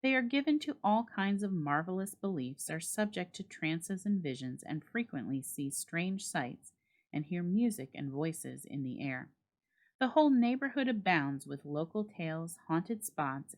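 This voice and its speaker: A woman reads a literary story aloud as a dramatic narrator, with measured, expressive phrasing. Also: low-pitched. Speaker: library staff reader and host.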